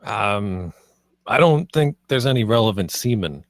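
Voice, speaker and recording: A man playing a reasonable twenty-eight-year-old with a hesitant, deadpan delivery in an animated comedy table read. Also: on the low side, a little slow, no room sound, studio quality. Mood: puzzled.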